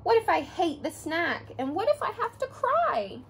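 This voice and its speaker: A woman's voice: extremely high pitch, sing-song, normal speed, slight background noise, voice pushed up, heavily acted, poor audio. A woman voicing an anxious little mouse girl, asking fretful questions.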